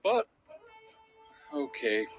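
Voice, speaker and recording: A man playing a loyal, earnest young pony guard, deferential and reassuring, in an animated fan drama. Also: mid-range voice, very slow, soft background music. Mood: anxious, coaxing.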